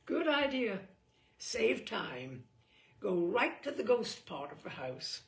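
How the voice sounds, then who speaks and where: A woman's voice: mid-range voice, big pitch swings, takes it slowly, creaky, reverberant. A woman reading a humorous mock guidebook aloud in an arch, theatrical, knowing manner. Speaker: storyteller reading a book aloud.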